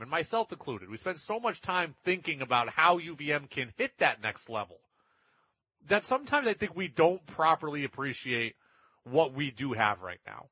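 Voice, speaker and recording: Male sports radio host, slightly high and forceful, emphatic and repetitive for stress, dry slightly dull broadcast sound. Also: slightly rough, no echo. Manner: emphatic, repeats phrases for stress. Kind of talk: sports talk commentary.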